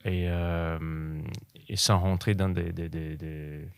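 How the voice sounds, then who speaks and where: A man from Brazil, a podcast guest who speaks French haltingly and often repeats words while he searches for them. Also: deep voice, level tone, clean tone, no room sound, studio quality. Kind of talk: casual interview conversation.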